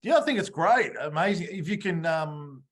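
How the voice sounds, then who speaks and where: A man's voice: a little high, very animated, normal speed, fairly bright tone. A man hosting an interview in a casual, conversational style. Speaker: podcast interview host.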